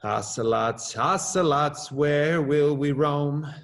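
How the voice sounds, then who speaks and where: A middle-aged man, an assistant principal, reading his own children's story aloud with lively rises in pitch. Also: takes it slowly, gravelly. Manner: expressive, lively rises on questions and exclamations.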